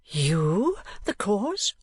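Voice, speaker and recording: Sensible young daughter, read by a woman, earnest and polite, lightly raised pitch. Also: huge pitch swings, very slow, breathy edge, dry recording, studio quality, heavily acted.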